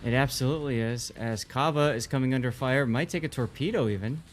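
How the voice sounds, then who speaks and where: A man of medium pitch calls an esports match play by play. His wording is casual and colloquial. Faint noise sits behind his voice. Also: a little fast. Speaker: esports co-commentator. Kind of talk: live play-by-play game commentary.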